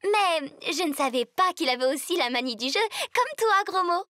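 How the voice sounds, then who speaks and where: A woman voicing a crafty, coaxing female character in a French cartoon, expressive in delivery. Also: very high voice, moderate pace, no room sound, clean studio sound, heavily acted.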